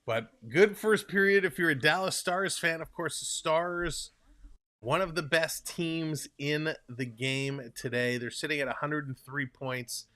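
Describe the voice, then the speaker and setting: A man's voice: on the high side, unhurried, bright, forceful tone. A young man with a Toronto Canadian accent, rambling casually as he hosts a live hockey stream and answers viewers' comments. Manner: chatty, rambling, reads and answers chat aloud.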